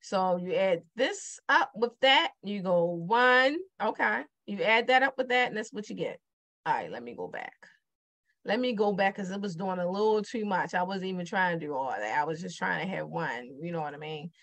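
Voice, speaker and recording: A woman's voice: fairly low pitch, animated, moderate pace, a bit bright. A middle-aged woman with a Baltimore accent teaching multiplication over a digital whiteboard, chatty and repeating her questions to the viewer. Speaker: math teacher. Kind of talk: casual whiteboard math lesson.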